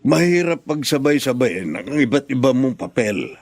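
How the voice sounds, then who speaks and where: A caring older father in a radio play, with a mid-pitched voice in a dry studio. He speaks gently and probingly, worried for his grown child. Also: big pitch swings, normal speed, no room sound, soft background music. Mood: concerned. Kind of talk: Tagalog radio drama acting.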